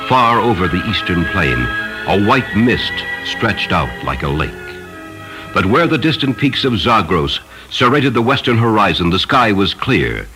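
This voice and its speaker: Male literary narrator, low and expressive, solemn dramatic delivery with music underneath. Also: unhurried, low-quality recording. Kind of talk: scripted literary story narration. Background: music behind the voice.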